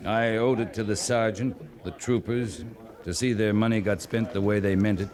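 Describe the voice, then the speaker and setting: An actor playing a stern, reserved cavalry captain in an old-time radio western, speaking formally and haltingly. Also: male voice, lowish voice, fairly even intonation, darkish tone, natural voice. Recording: noisy old radio drama recording.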